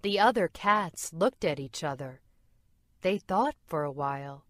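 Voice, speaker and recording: A woman reads a children's story aloud with very expressive intonation. She takes it slowly, in a dry, close recording. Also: low-pitched, no echo. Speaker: storybook narrator. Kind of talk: scripted children's read-aloud narration.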